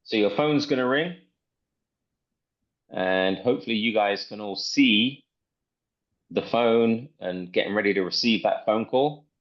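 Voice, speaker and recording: A man giving a casual live software walkthrough as presenter, enthusiastic and often repeating himself, on muffled online call audio. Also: on the low side, unhurried, long pauses.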